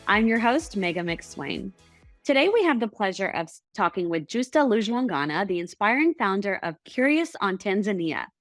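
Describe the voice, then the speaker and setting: A woman hosting a podcast, reading a polished intro and asking measured questions. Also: mid-range voice, very animated, no echo, clean studio sound, faint music behind. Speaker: podcast host. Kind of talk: scripted intro and interview questions.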